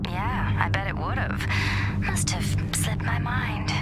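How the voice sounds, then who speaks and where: An actress playing a flirtatious, sly cat burglar in a video game, teasing and sarcastic with a sultry manner. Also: female voice, medium pitch, slow, over loud music, natural voice. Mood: wry, teasing.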